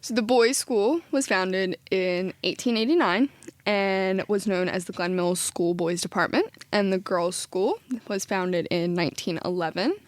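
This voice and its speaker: A woman co-hosting a podcast, chatting and telling a story, lively and quick to laugh. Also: mid-range voice, no room sound. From Philadelphia area. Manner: chatty, laughs often, enthusiastic. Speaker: podcast co-host. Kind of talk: casual chat and storytelling.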